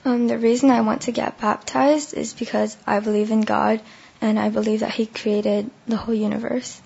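Young woman who lost her voice, raspy and flat, sharing her faith at church, bit muffled. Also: on the high side, normal speed. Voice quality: gravelly, husky. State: hoarse, lost voice. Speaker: baptism candidate giving testimony. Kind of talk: church testimony in short pauses for translation.

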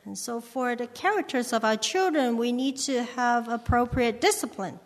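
A woman interpreting a sermon live, halting now and then while she translates. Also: on the high side. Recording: echoey hall.

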